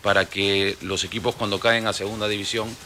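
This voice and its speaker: A Peruvian man in his forties, a football club executive answering radio interview questions in a measured, explanatory way with many fillers. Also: lowish voice, level tone, bright, forceful tone, a little hiss or hum. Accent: Peruvian Spanish. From Peru. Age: 40s.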